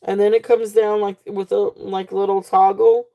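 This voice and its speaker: Woman, live sale host, mellow gravelly voice going hoarse, chatty with plenty of fillers. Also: medium pitch, little pitch movement, moderate pace. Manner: chatty, fillers, reads comments aloud. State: losing her voice. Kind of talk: live auction selling chat.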